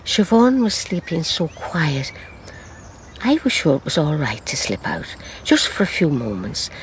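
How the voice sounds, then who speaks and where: An actress playing an elderly Irish grandmother in a radio drama, recounting her past in a measured, sorrowful, wistful way. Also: sits low, very animated, a little hiss or hum. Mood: haunted regret. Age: older.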